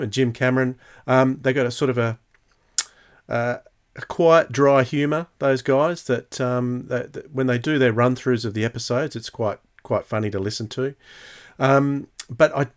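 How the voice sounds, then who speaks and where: Halting man hosting a casual podcast. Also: mid-range voice. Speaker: podcast host. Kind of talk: casual podcast talk, reading out listener comments.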